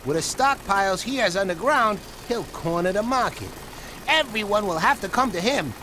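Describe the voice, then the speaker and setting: Game villain hornet: smug, folksy, high-pitched, swooping taunts, noisy engine bed behind. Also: male voice, expressive, normal speed. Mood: boastful. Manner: taunting, drawling, mock-polite. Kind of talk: animated game villain acting.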